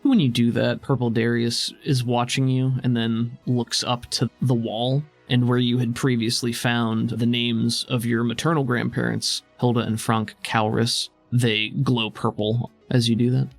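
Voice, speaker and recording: Man, game master, gravelly fry, medium pitch, descriptive improvised narration, faint music underneath. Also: flat delivery, unhurried, hardly any pauses. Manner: descriptive, conversational.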